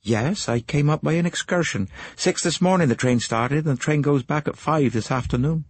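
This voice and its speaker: A man's voice: on the high side, dry recording, pitched above the performer's own voice, natural voice. Man voicing a fretful, anxious middle-aged wife. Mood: indignant. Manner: fretful, gossipy, quick to take offence. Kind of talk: audiobook character voice.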